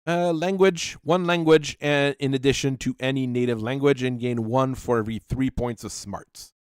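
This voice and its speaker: A man's voice: medium pitch, steady tone, smooth, dry recording, studio quality. Man mulling over game rules aloud. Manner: thinking aloud, reading rules out, halting with fillers. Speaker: channel host. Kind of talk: talking through tabletop character creation.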